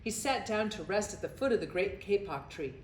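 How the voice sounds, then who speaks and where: A woman reading a children's story aloud in an expressive, narrated style. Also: medium pitch, brisk. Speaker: storybook reader. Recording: echoey room with faint noise.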